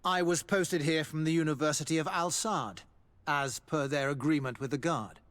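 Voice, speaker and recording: A man's voice: a little high, normal speed, fairly bright tone, close to an everyday voice. A man voicing a courteous, formal, scholarly army doctor in a video game. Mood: polite, explanatory.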